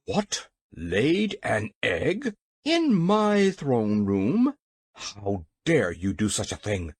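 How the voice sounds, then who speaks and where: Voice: mid-range voice, very animated, unhurried, husky, no room sound. A narrator voicing a pompous, tyrannical little gnome king in a storybook, roaring and exclaiming. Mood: furious. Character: blustering, excitable little male gnome king, tyrannical villain.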